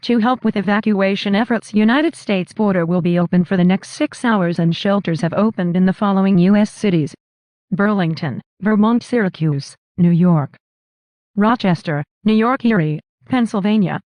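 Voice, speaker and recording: A woman's voice: mid-range voice, normal speed, a little mellow, no echo, highs cut off. A woman's synthetic text-to-speech voice reading scripted emergency broadcast announcements in a formal, impersonal, clipped manner.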